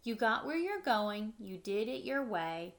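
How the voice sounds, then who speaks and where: A woman's voice: a little high, unhurried, a little hiss or hum. Woman reading aloud to children. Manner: expressive storybook reading, simple explanations for children. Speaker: teacher reading a picture book aloud. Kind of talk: children's read-aloud with short explanatory asides. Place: living room at home, indoors.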